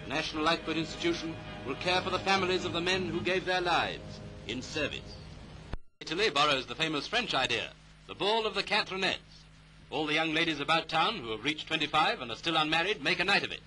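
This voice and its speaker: A man's voice: on the high side, animated, fairly quick, sharp tone, rough recording. A British man delivering scripted 1930s newsreel commentary in a clipped manner, on a vintage soundtrack. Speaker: newsreel narrator. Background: music.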